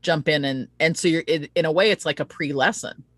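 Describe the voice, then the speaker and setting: A woman's voice: sits low, a little fast, no echo. A woman hosting a casual promotional live-stream interview, speaking in an earnest, chatty and rambling way. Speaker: host of an online interview show.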